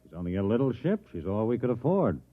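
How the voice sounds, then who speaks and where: A man's voice: lowish voice, very animated, fast talker. Curt colony spokesman on tinny old radio. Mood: worried. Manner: curt, stiff, humorless. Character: stern, aggrieved male colony spokesman, plain-spoken settler. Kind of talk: 1950s radio drama acting. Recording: noisy, band-limited 1950s radio recording.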